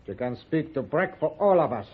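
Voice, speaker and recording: Over a tinny, phone-like old radio recording, a frontier townsman taking charge. His voice is high for a man and husky, firm and cagey. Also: big pitch swings, a little fast. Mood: firm, commanding. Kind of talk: old-time radio Western acting.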